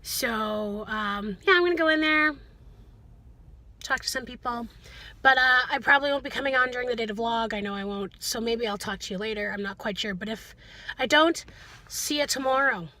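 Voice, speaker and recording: A woman talking casually to viewers in a chatty, animated video diary, often interrupting herself. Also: a little high, normal speed. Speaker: vlogger, school teacher.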